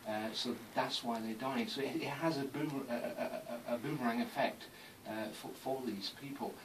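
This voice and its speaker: A man's voice: medium pitch, normal speed. A man speaking as a radio interview guest, explaining emphatically and repeating phrases as he goes. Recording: distant mic, clearly noisy background.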